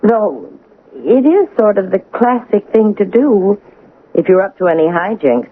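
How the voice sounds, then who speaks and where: An actress playing a gossipy, nosy older wife in a 1980s radio drama, with a fussy, coy manner. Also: female voice, medium pitch, sing-song, measured pace. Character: chatty, nosy older wife, amateur sleuth. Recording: tinny, faintly noisy old radio recording.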